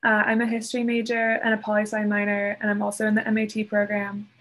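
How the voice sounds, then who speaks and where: Bubbly young woman, flat intonation. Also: medium pitch, quick pace. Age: 20s. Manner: bubbly, with frequent likes. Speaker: student panelist. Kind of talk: casual panel talk. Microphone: video call.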